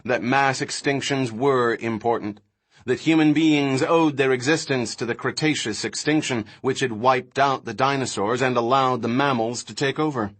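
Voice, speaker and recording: A man reading a novel aloud as scripted narration, in a dramatic, expressive manner. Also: medium pitch, moderate pace. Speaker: audiobook narrator.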